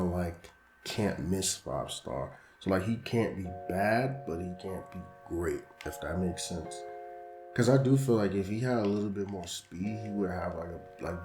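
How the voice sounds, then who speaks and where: Deep-voiced young man losing his voice. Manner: casual, slangy, frustrated and animated. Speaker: gaming YouTuber. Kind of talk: gameplay commentary. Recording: roomy echo with background music.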